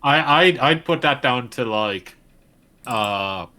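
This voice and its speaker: Bright-voiced wry young man. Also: mid-range voice, takes it slowly, a little hiss or hum. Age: late 20s. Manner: wry, deadpan-ish humor with frequent fillers. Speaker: co-host. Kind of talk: casual chat while gaming.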